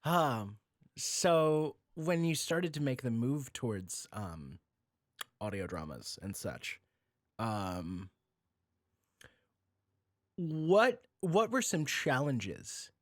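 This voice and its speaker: Animated man hosting a casual podcast. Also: medium pitch, drawn-out words, pauses often, no room sound, studio quality. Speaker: podcast host. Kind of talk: casual chat interview.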